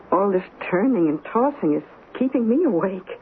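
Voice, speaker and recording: A woman's voice: mid-range voice, expressive, normal speed, narrow, tinny audio, natural voice. A woman acting in a radio drama as an affectionate, reasoning wife, with a coaxing, explanatory and theatrical delivery. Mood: drowsy, coaxing. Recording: thin, noisy old radio broadcast.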